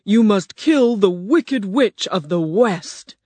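A narrator voicing a huge, terrifying wizard who speaks slowly and loudly, with a higher, distant-sounding voice. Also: expressive, no echo. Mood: booming. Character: booming giant wizard head, terrifying.

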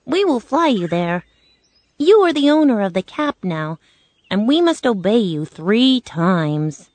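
A woman's voice: medium pitch, big pitch swings, measured pace, a little mellow, no room sound, voice pushed up. A woman voicing an obliging king of winged monkeys in a storybook, with swooping delivery. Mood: obliging, confident.

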